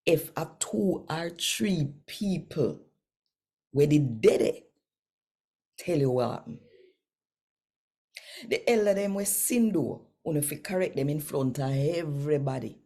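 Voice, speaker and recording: A woman's voice: sits low, warm tone, slightly rough. A woman with a Jamaican accent, hosting and reading scripture aloud in a devotional style. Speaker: host reading daily scripture.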